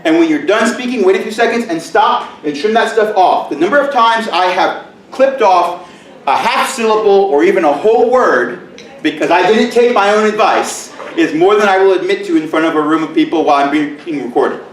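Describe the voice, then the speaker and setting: Booming man lecturing in an echoey room. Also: expressive, normal speed, distant mic, a little hiss or hum. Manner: loud booming voice, joking aside. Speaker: teacher presenting at a conference. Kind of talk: conference presentation. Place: large echoey conference room. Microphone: camera mic from far off.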